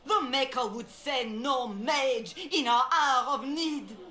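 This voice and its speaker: A woman's voice: high voice, sharp tone, exaggerated voice. An actress playing a stern female cleric who denounces a false prophet to a crowd in a game. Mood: accusing. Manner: slow, declaiming to a crowd. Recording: echoey game audio.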